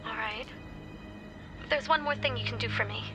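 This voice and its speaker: A determined, pragmatic female defense lawyer in a video game drama, played by an actress. She is direct and persuasive, with a fairly high voice. Music is clearly heard behind her. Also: moderate pace, close to an everyday voice. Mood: dry, determined. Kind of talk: video game cinematic acting.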